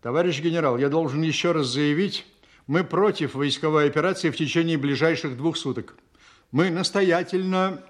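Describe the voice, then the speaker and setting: A man acting an earnest, stubborn Soviet counterintelligence officer in a Russian audio drama, measured, formal and respectful to superiors. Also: fairly high pitch, natural voice. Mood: firm.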